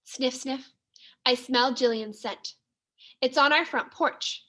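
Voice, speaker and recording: A woman's voice: high-pitched, takes it slowly, bright, forceful tone. A woman teacher reading a children's story aloud, expressively and with careful enunciation.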